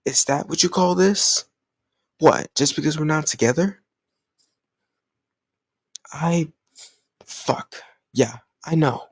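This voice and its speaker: A man's voice: on the high side, slow pace, long pauses, slightly rough, dry recording, full character voice. Man acting a jealous lover, close and dry. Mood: jealous, indignant, then frustrated confession. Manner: emotional, colloquial, insistent. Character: jealous, stubborn young male lover confessing his love. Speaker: audio roleplay voice actor. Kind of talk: intimate scripted romantic audio roleplay.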